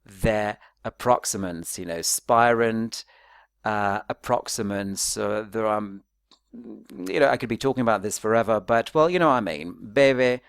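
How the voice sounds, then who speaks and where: A man's voice: on the low side, measured pace, gravelly, smooth, no echo, studio quality. A man presenting an academic talk in a conversational, explanatory way, checking in with little tags and questions. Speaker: academic presenter, phonetics researcher.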